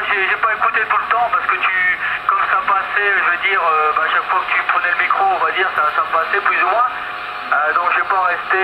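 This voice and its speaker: A man from France chatting casually over a radio transmission as an amateur operator, often repeating himself. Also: high voice. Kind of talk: casual radio contact chat. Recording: dull, very noisy radio link.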